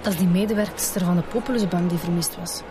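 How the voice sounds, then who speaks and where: A woman with a Flemish accent playing a calm, low-key police detective in a naturalistic radio drama. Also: fast talker, background noise, close to an everyday voice. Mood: casual. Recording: music behind the dialogue.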